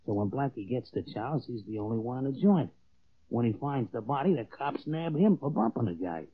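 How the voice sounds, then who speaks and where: A man's voice: medium pitch, expressive, fairly quick, husky. An actor playing a dim, boastful gangster henchman in 1940s radio style, with an American accent and slangy, ungrammatical talk, eager for approval. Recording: muffled tinny old radio recording.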